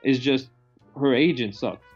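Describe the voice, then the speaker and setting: A man's voice: mid-range voice, measured pace, breathy edge, highs cut off, soft background music. A man speaking as a guest in a casual film discussion podcast.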